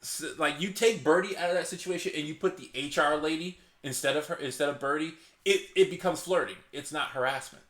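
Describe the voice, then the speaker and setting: A man's voice: fairly quick, few pauses. Passionate man in an echoey room. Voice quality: naturally loud voice, held low. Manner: passionate, reasons aloud with many restarts. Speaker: channel host. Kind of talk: casual commentary chat. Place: hot indoor room.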